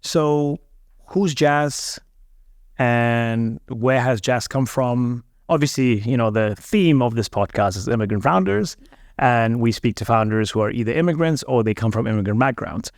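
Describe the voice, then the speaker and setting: A man hosting a podcast interview, asking open questions in a relaxed, conversational way and reacting with short interjections. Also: medium pitch, a little slow, no room sound, clean studio sound. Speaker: podcast host.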